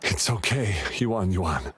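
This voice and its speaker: Slow, emotional, protective father. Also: sits low, animated, fairly bright tone, husky, exaggerated voice. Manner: tender, earnest, emotional. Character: gentle, desperate, protective father of a puppet girl. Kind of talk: video game cutscene acting.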